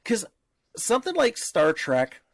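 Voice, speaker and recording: A man's voice: high-pitched, huge pitch swings, unhurried, vocal fry, dry recording, studio quality. A man co-hosting a casual podcast, explaining points and often rephrasing as he goes. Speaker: podcast co-host.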